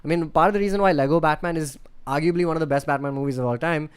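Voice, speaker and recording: A man's voice: fairly high pitch, rapid-fire, clear, resonant tone. A man co-hosting a podcast in casual chat, talking in a rambling, enthusiastic way with many restarts. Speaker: podcast co-host.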